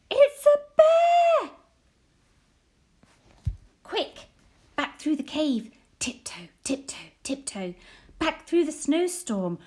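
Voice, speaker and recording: A woman teacher reading a children's picture book aloud, slow and playful, whispering the sound words and rising to excited gasps. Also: sits high. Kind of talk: expressive read-aloud storytelling for children.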